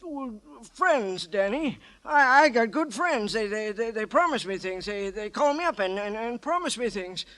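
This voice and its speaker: An actor playing a jittery, pleading small-time misfit in an old radio crime drama, stammering and repeating himself. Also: male voice, high-pitched, expressive, moderate pace, few pauses, pushed and bright. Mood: pleading, anxious. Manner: stammering, repeating words, nervous chuckles. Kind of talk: 1950s radio crime acting. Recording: old radio recording with music underneath.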